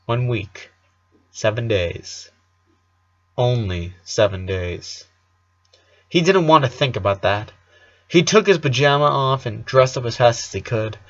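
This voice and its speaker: Male story narrator, medium pitch, amateur read with the odd stumble, slightly lo-fi sound. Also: animated, a little slow, pauses often. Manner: occasional stumbles over words while reading. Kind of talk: fan fiction read aloud.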